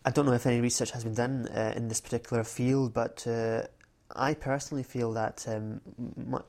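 A man's voice: mid-range voice, fairly even intonation, moderate pace, darkish tone. A man being interviewed about his newspaper work, talking in a hesitant way with many fillers and restarts. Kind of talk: research interview.

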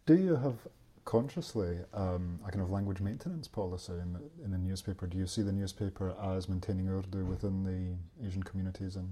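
Man, interviewer; quick, probing questions, husky low voice. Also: fairly even intonation, warm tone. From Scotland. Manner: probing questions with frequent backchannels. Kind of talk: research interview.

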